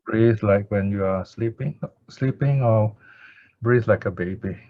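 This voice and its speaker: A low-pitched man leads a meditation session at a very slow pace. He speaks in measured, short phrases with plenty of pauses, as a teacher guiding practice. The recording is dry and somewhat dull. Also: no echo. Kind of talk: slow guided meditation instruction and Pali chanting. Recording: dull lo-fi audio.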